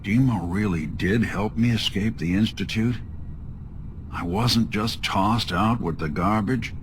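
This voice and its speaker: An actor playing a weary, wry synthetic detective in a video game, with hardboiled, slangy phrasing. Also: male voice, on the low side, measured pace, a little hiss or hum, close to an everyday voice. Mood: troubled. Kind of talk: video game dialogue acting.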